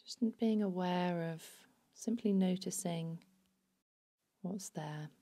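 A woman leads a guided meditation in a slightly low, very mellow voice. She speaks very slowly and soothingly, with phrases that settle into a hush. The delivery is unhurried and gentle throughout. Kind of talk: guided body scan meditation narration.

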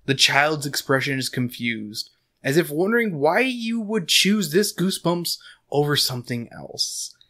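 A slightly hoarse man hosting a casual book podcast, rambling with lots of fillers and laughing often, recorded on a desk mic at home. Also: a little high, animated, a little slow, pushed and bright. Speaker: podcast host. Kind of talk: casual chat and book recap. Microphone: desk condenser mic.

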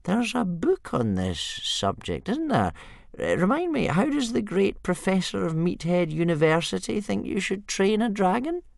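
A man voicing a smug, rambling old male soothsayer with a wheezy, high-pitched voice and grand, slow pronouncements. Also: sing-song, vocal fry, no room sound, clean studio sound, exaggerated voice. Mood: coaxing.

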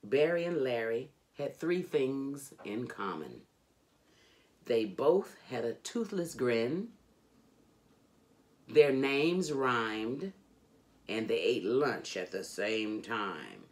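A middle-aged woman reading a children's book aloud in an expressive, deliberate, emphatic storytelling manner. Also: rumbling low. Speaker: storybook reader. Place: indoors, furnished home room.

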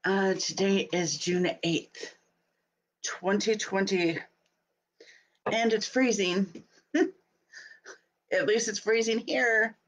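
A woman in her thirties talks casually to her webcam from a home office. Her voice is stuffy and a little scratchy from a cold, and she chats along easily, often breaking into laughs. Her pitch sits in a middle range. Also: steady tone, very slow, long pauses. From Utah. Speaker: host. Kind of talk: casual live video chat. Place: home office, small room indoors. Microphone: webcam at desk.

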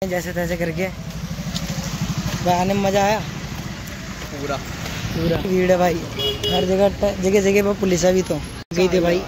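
A man's voice: high voice, fairly even intonation, measured pace, long pauses, heavy background noise. A young man chatting casually as he vlogs his trip to a stadium, recorded outdoors on a phone. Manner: chatty, keeps calling viewers brother. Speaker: vlogger. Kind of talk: casual vlog narration. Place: outdoors on city roads and at a stadium.

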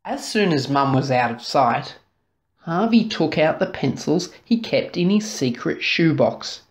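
Low-voiced, animated woman reading a storybook. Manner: dramatic, deliberate, drawn-out key words. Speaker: storybook reader. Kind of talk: expressive children's story narration.

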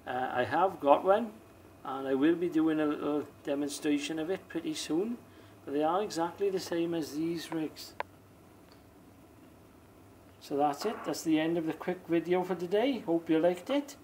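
Man, slightly high voice, casual demonstration, plain-spoken, unhurried, faint noise on a home recording. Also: darkish tone, poor audio. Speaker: presenter of a radio demonstration video. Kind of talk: casual hands-on product demonstration. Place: small indoor room or shed.

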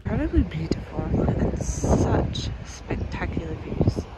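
A woman's voice: on the low side, very animated, unhurried, very noisy. A woman in her thirties chatting casually outdoors for a travel vlog. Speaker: travel vlogger. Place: outdoors at a mountain mosque. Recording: loud music under the voice. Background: loud music.

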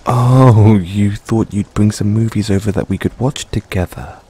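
A man's voice: lowish voice, slightly rough. A man playing an affectionate, teasing middle-aged father doting on his younger boyfriend in an intimate audio roleplay, speaking playfully and fondly to the listener. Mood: fond amusement. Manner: playful, fond, chuckling between unhurried phrases. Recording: steady rain noise in background. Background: rain falling.

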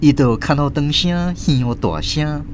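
A man with a Taiwanese accent giving expressive scripted narration as the host of a radio drama. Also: a little high, unhurried, slight background noise.

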